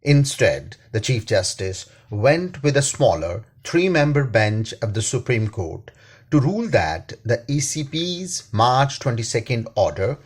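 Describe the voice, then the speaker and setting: Slow, husky Pakistani man reading formally. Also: mid-range voice. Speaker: reader, attorney and law professor. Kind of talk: scripted read-aloud of a newspaper editorial.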